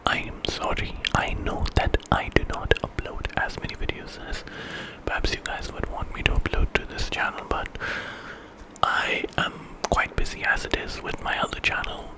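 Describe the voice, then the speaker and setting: Whispering man telling a story. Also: poor audio. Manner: hushed, unhurried whisper with pauses. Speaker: ASMR storyteller, channel host.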